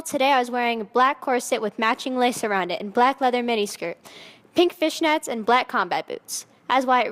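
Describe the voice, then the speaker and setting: A woman's voice: fairly high pitch, a bit bright, natural voice. A woman voicing a haughty, melodramatic seventeen-year-old goth vampire girl in a dramatic fan fiction reading, with emphatic teenage delivery. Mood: self-absorbed, dramatic. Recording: echoey hall with long reverb.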